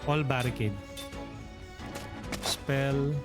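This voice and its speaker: A man's voice: mid-range voice, steady tone, extremely slow, music in the background. A man from the Philippines with a Filipino accent, streaming a role-playing game and chatting with his viewers as he reads out menus. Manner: thinks aloud while reading menus, chatty with viewers. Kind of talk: live let's play commentary.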